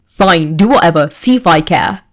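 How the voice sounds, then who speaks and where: A woman's voice: fairly low pitch, lively intonation, normal speed, natural voice. Woman doing an explosive, short-tempered teenage boy. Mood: irritated. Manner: shouting, brusque.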